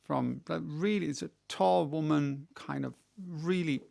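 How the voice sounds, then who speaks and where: A middle-aged man casually telling a story, rambling with many restarts and getting animated when he retells a conversation. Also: fairly high pitch, a little slow, vocal fry. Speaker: audiobook reader answering questions. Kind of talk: casual monologue.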